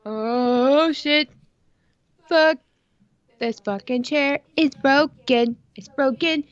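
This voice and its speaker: A woman's voice: high-pitched, extremely slow, dry recording, muffled recording. A woman co-hosting a casual live stream, bantering in a crude, teasing, repetitive way and swearing freely. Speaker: podcast co-host on a live stream.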